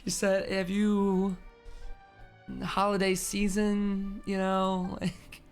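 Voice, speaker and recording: A woman's voice: mid-range voice, monotone, drawn-out words. A woman streamer giving casual, joking commentary over a game in a slangy, reactive way. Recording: faint game music behind the voice.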